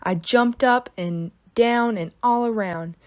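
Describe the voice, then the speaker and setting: A woman narrating a children's book aloud in an expressive, dramatic storytelling style. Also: fairly high pitch, takes it slowly.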